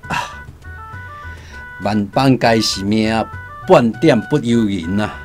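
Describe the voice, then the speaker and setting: An actor in a radio drama playing a seasoned fortune teller, solemn and fatalistic, making deliberate pronouncements. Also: male voice, on the low side, darkish tone, soft background music. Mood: resigned. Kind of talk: Taiwanese radio drama acting.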